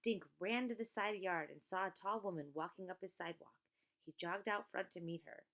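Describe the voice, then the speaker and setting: A woman's voice: mid-range voice, brisk, a bit bright. A woman reading a children's story aloud as scripted narration. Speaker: audiobook reader.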